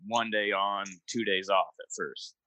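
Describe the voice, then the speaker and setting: A man's voice: lowish voice, a little slow, pushed and bright, no echo, low-quality recording. A young man in casual chat, talking in a laid-back, rambling way with lots of fillers.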